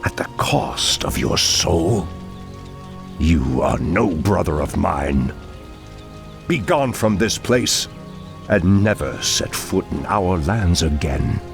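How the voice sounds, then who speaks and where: A low-voiced, ancient Night Elf commander from a fantasy game. He is stern and grand, with wide swings in his solemn delivery. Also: expressive, takes it slowly, gravelly, music in the background, exaggerated voice. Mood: angry, wounded. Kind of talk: dramatic video game cinematic acting.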